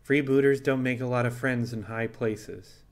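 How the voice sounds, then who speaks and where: A man voicing a frank, thoughtful animal pirate captain with a level, folksy and deliberate way of talking. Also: mid-range voice, steady tone, lightly acted.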